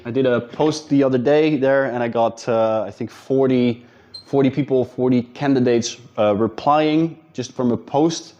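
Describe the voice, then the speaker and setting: Man, dutch accent, business coach, casual and direct, mellow tone, slightly dull recording. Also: medium pitch, moderate pace. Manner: direct, informal, addresses viewers as guys. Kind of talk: casual talking-head advice video.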